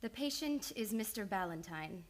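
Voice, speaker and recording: An actress playing a composed, determined and caring psychoanalyst, earnest and theatrical in an old-time radio play. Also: female voice, medium pitch, animated, moderate pace, natural voice. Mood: probing. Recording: studio radio play with music behind.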